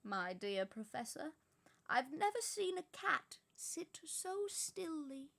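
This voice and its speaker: A woman voicing an old male wizard who speaks slowly, softly and with amusement. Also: sits high, sing-song, vocal fry, pitched above the performer's own voice, heavily acted. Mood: gentle.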